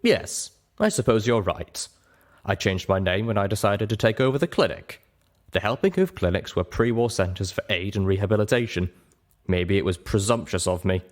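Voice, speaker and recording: A man voicing a genial stallion doctor, patient and candid, speaking politely and in a measured way in a storybook reading. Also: lowish voice, lightly acted. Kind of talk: audiobook character voice in storybook reading.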